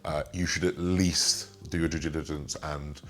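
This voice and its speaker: A man in his twenties with a slightly raspy voice, chatting casually as he answers viewer questions and rambles with fillers. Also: a bass voice, flat delivery, normal speed. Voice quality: raspy today. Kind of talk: casual Q and A monologue.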